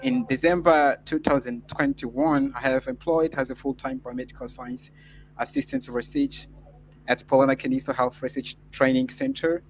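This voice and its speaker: A man's voice: medium pitch, level tone, normal speed, slight background noise, rough recording. A man whose first language is Portuguese, answering a mock scholarship interview in a formal, earnest way with frequent filler sounds. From Mozambique. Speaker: interview candidate.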